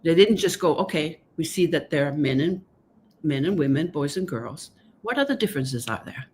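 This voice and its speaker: A woman's voice: deep voice, expressive, normal speed, a little mellow, a little hiss or hum. Danish-accented woman explaining earnestly. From Denmark. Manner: earnest, explanatory, peppers talk with "right?". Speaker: author and guest speaker. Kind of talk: online live conversation. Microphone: video call.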